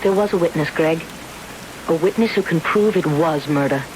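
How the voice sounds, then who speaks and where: An actress plays a cold young woman in an old radio thriller. Her voice is fairly low, controlled and pointedly accusing, under clear tape hiss. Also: moderate pace, close to an everyday voice. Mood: ominous, accusing. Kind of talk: 1970s radio drama acting. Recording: hissy old radio broadcast recording.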